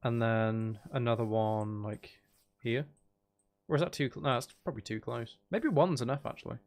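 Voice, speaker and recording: A man giving casual gameplay commentary as a streamer, thinking out loud and chatting with viewers. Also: medium pitch, fairly even intonation, moderate pace. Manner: thinks out loud, sweary, banters at game characters. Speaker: game streamer.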